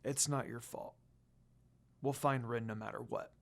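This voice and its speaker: A story reader acts out a reserved demon lord from an anime tale. He speaks low and very softly, calm and measured, with a protective air. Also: vocal fry. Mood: soothing.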